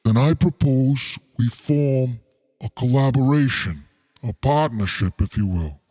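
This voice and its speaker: A suave crime boss from a noir radio play, acted by a man. He speaks in a formal, genteel way at a middling pitch, with a faint menace under the courtesy. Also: unhurried, darkish tone, dull audio, close to an everyday voice. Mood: bargaining. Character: suave scheming crime boss Fat Cat, noir villain.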